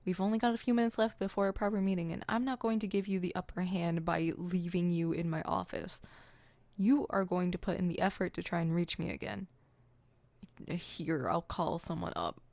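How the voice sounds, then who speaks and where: A woman's voice: fairly low pitch, fairly even intonation, moderate pace, creaky, darkish tone, no echo. A woman playing a theatrical, poetic supervillain in an ASMR roleplay, haughty and dramatic, stammering when flustered. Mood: cold, dismissive.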